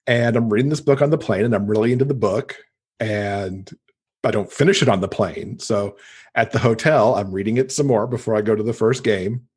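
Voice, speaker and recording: A man's voice: on the low side, a little fast, a little mellow, breathy edge. A man from the United States, a podcast guest telling stories casually and enthusiastically. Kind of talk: casual conversational storytelling.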